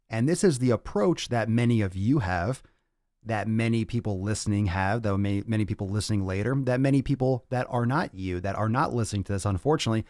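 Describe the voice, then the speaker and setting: A man with a fairly low voice delivers a blunt motivational rant as a fitness podcast host. He speaks emphatically, swears freely and repeats phrases for weight. The sound is close and dry. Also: no room sound. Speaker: fitness coach hosting a podcast. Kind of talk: motivational rant to livestream audience.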